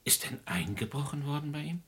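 A man's voice: a little high, expressive, fairly quick, a bit bright. An actor playing a busy, obliging businessman and art collector in a German radio crime play, polite, composed and formal. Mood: composed, helpful.

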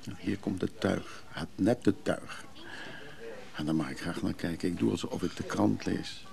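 An actor playing a guarded, grumbling older man, measured and brusque, in a radio drama. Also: deep voice, creaky, dark tone, full character voice. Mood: musing, sardonic.

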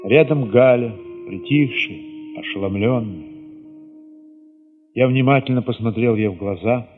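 A man narrating an audio drama in the first person, expressive and solemn, with weighty pauses. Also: mid-range voice, in a large hall, phone-quality sound, faint music behind.

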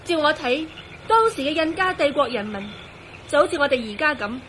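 A woman's voice: high voice, expressive, a little fast, a bit bright, slight background noise. An actress playing an anxious, devoted young woman in a Cantonese radio drama. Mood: earnest, insistent. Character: anxious, devoted young woman turned transparent, quick to reason.

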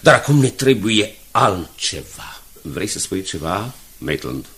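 An actor playing a resolute, composed newspaper director in a Romanian radio drama, speaking with deliberate authority. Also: male voice, low voice, very animated, fairly bright tone. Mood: measured, questioning. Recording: noisy 1980s radio drama with clicks.

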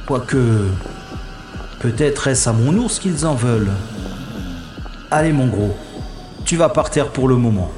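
Man playing a slow-talking, wry secret agent over music. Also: medium pitch, lively intonation. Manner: theatrical, slangy, self-narrating. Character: wry, cocky male special agent, talking himself through trouble. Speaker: lead actor in an audio drama. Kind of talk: scripted science-fiction audio drama, first-person narration. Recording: music under the voice.

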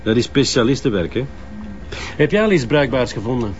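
A Flemish actor playing a dry, methodical police inspector in a crime drama, with a measured, questioning manner. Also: male voice, medium pitch, lively intonation, music in the background, natural voice. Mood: resigned. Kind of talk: naturalistic crime drama acting. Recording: muffled sound with clear background noise.

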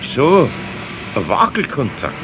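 An actor playing a good-natured middle-aged Bavarian master carpenter in a children's radio play, hesitant and flustered in speech. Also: male voice, medium pitch, huge pitch swings, slow pace, noisy background. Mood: polite, surprised. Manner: hesitant, stammering, evasive. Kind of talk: 1970s German children's radio play acting.

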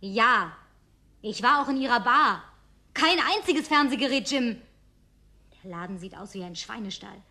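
A high female voice in a radio drama, playing a lonely, genteel woman. She speaks with formal politeness and soft exclamations. Wistfulness and suspicion sit close together, and she is easily wounded. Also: animated, normal speed, long pauses. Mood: accusing. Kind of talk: German radio drama acting, naturalistic dialogue.

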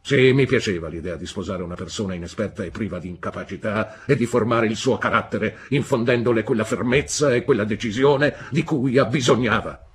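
A man's voice: lowish voice, slight background noise, voice pushed up, close to an everyday voice. A man voicing a stern, domineering husband who speaks with cold severity. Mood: severe. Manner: thundering, stern, slow.

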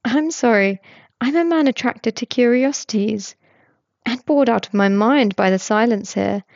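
A woman's voice: a little high, a little slow, gravelly, no echo, dull audio. A woman doing a nosy, eager man. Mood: curious, pleased. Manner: eager, prying, bright. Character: nosy, chatty, curious man.

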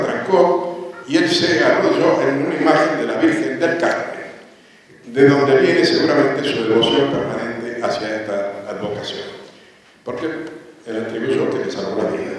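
A man lectures on history in a storytelling way, asking questions and answering them. His voice sits at an ordinary pitch and comes from some distance off the microphone, washed in heavy echo and the long reverb of a big hall. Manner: rhetorical questions, re-enacts dialogue. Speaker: conference speaker, history lecturer. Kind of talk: anecdotal public history lecture. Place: large echoey hall at a conference.

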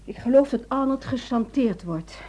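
A worried wife in a radio play, pleading and determined. Her voice sits fairly high and is mellow in tone, with a slightly husky, unclear edge. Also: animated, moderate pace, rough recording. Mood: worried, earnest. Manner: earnest, pleading. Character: worried, determined wife seeking help for her secretive husband. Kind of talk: Dutch radio drama acting.

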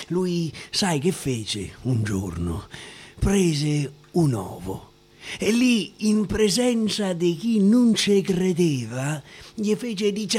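A man with a Roman accent reciting dialect verse theatrically as a storyteller, with drawn-out pauses and repeated words. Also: sits high, husky. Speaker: stage actor and storyteller. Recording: guitar music behind the voice. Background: guitar music.

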